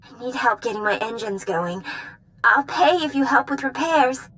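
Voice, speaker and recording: A woman's voice: fairly high pitch, animated, normal speed, fairly bright tone, poor audio. A woman playing a flirty, deceitful, lovesick starship captain in a video game, with a coy, sugary manner. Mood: urgent pleading. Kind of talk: video game cutscene acting.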